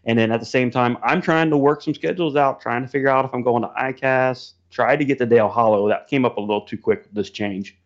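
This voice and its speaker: A man's voice: mid-range voice, quick pace, hardly any pauses. A man chatting casually as a podcast guest in a friendly, informal way, over dull livestream audio. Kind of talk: casual chat.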